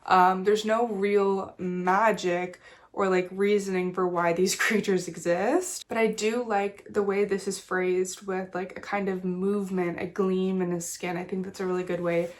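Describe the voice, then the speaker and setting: A woman's voice: medium pitch, flat delivery, unhurried. Chatty woman, nasal from a cold. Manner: chatty, fillers like "like", casual swearing. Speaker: book vlogger. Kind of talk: casual reading vlog chat. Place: small reading corner at home.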